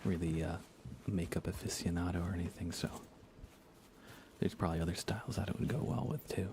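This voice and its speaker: A man speaking softly as an ASMR game streamer, hushed and often near a whisper, rambling casually as he chats with viewers. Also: sits low, a little fast, noisy background. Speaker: live streamer. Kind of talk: soft-spoken ASMR gaming livestream chat.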